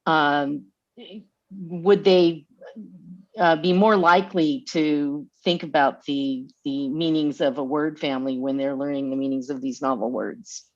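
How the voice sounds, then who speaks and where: Female audience questioner, deep voice for a woman, dull call sound. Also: steady tone, unhurried, darkish tone. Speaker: audience member asking a question. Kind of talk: academic question.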